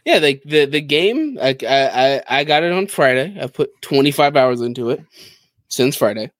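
A man with a medium-pitched voice co-hosts a casual gaming podcast. He explains things in an enthusiastic, conversational way. The sound is dry, with no room to it. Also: animated, moderate pace, no echo. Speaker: podcast co-host.

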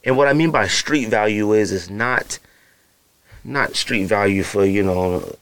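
A man in his thirties with a naturally deep voice, hosting a podcast in loose, slangy casual chat full of fillers and asides to his listeners. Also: a little slow, a bit bright. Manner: feels hot and uncomfortable while recording. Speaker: podcast host. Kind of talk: casual solo podcast rambling.

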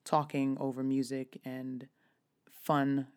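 A woman in her thirties hosting her own podcast, her voice raspy and hoarse, talking casually with frequent restarts. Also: extremely low pitch, flat delivery, slow pace, a little mellow. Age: 30s. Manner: chatty, with frequent restarts and fillers. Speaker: podcast host. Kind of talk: casual solo podcast talk.

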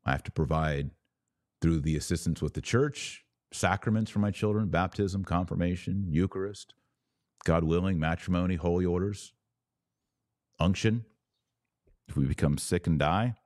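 Male podcast host, middle-aged, deep voice, dry close-mic sound, emphatic deliberate delivery. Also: a little mellow, no echo. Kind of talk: religious commentary podcast. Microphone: close studio microphone.

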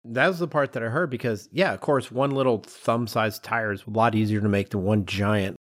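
A man's voice: lowish voice, brisk, fairly bright tone. A man co-hosting a podcast in casual conversation, reacting and raising questions. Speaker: podcast co-host.

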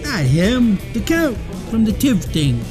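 A man's voice: high voice, big pitch swings, takes it slowly, heavily acted. A man playing an exasperated, touchy car show exhibitor in a radio comedy, blunt and scolding. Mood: threatening. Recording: music behind the voice.

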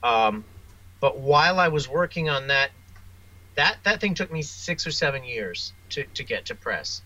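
A man speaking as an interview guest, a writer telling wry, self-deprecating anecdotes in a casual, animated way. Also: on the high side, measured pace, low-quality recording.

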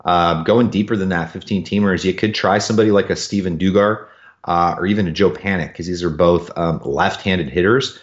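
A low-pitched man talks sports as a podcast analyst, recorded with a dull, lo-fi sound. His delivery is informal and halting, with pauses as he works out his thoughts. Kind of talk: casual sports talk, analysis. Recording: dull, lo-fi voice connection.

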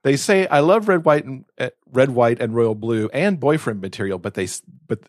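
A man's voice: medium pitch, lively intonation, normal speed, dry recording, studio quality. A man hosting a podcast, talking casually and enthusiastically about books. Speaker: podcast host. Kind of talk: casual book chat on a podcast.

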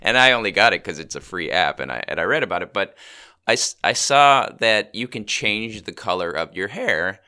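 A middle-aged man with a cold co-hosts a casual podcast, his voice low yet bright. His pitch swings lively as he chats, stumbling over fillers and restarts. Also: clean tone. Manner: chatty, halting with fillers and restarts. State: has a cold, lowered voice. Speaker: co-host. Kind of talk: casual chat.

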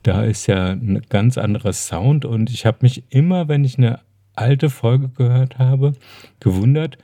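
A man's voice: mid-range voice, measured pace, dark tone, no room sound. A man co-hosting a casual podcast, talking in a wry, hesitant way as he searches for words. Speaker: podcast co-host.

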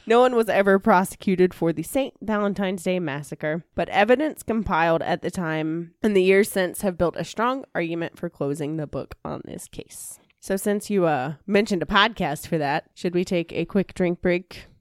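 A woman's voice: fairly low pitch, normal speed, few pauses, no room sound. American woman doing true crime podcast, dry studio sound, tells the story then jokes around. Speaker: podcast co-host telling the story. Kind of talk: true crime storytelling mixed with casual banter.

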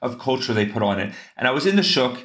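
Guest voice: earnest man, mid pitch, rambling restarts, echoey room. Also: sing-song, fast talker, fairly bright tone. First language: English. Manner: earnest, talks in long runs with many restarts. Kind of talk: casual interview conversation.

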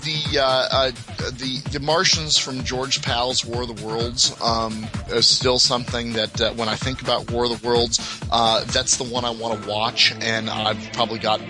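A man talking casually as an enthusiast podcast guest, rambling and haltingly backtracking as he goes. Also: mid-range voice, level tone, music in the background.

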